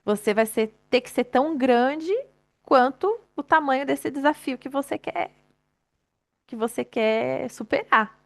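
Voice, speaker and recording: A woman's voice: sits high, a little slow, gravelly. A woman giving a chatty, live-streamed motivational talk, addressing the audience directly and laughing often.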